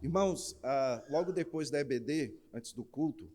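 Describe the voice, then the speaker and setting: A man's voice: mid-range voice, moderate pace. A man with a Northeastern Brazilian accent preaching in church, opening with a jokey rhymed verse before an earnest sermon. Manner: jokey rhyming recitation, then steady earnest preaching. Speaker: pastor. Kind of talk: sermon with a humorous rhymed recitation.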